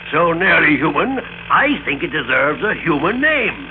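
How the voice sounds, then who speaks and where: A man's voice: fairly high pitch, sing-song, unhurried, narrow, tinny audio. A man acting a pompous, smug company boss in an old-time radio drama, speaking formally and with polite condescension. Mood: grandly proud. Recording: thin band-limited old radio recording, noisy.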